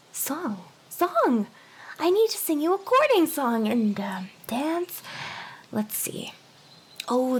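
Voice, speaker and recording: A woman playing an eager, nervous young female harpy in an anime ASMR roleplay, halting and flustered, with stammers and breathy, whispery touches. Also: a little high, full character voice. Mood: showy, pleading. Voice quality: breathy, often slipping into whispers.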